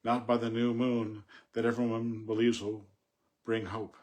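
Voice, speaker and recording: A man reading poetry and commenting on it informally in a measured, thoughtful way. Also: mid-range voice, little pitch movement, darkish tone. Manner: halting, thinking aloud with self-corrections. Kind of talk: poem recitation and informal unscripted commentary.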